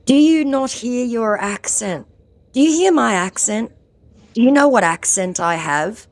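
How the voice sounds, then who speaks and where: A woman's voice: a little high, lively intonation, unhurried, no echo. A woman with an ear infection, mocking a phone scammer in a sarcastic, confrontational way. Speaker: scam-baiting vlogger. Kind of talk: confronting a phone scammer, then chatting to viewers.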